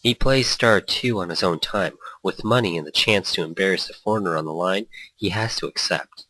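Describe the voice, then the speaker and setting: A man's voice: sits low, moderate pace, bright, forceful tone, dry recording, low-quality recording. A man hosting a gaming let's play, reading story text aloud with playful, rambling asides and small stumbles. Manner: playful, rambling, stumbles over read lines.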